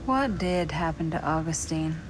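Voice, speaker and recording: A lowish-voiced woman, resigned and cryptic. She speaks tersely, with an ominous calm, while noisy ambience runs behind her. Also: normal speed. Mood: flat, probing. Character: resigned, cryptic woman long trapped in limbo. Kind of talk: video game cutscene acting.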